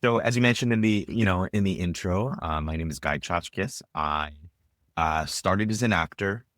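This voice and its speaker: Low-voiced man, relaxed rambling. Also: normal speed, no echo, studio quality. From Israel. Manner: rambling, many fillers and restarts. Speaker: podcast guest, filmmaker. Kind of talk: casual interview chat.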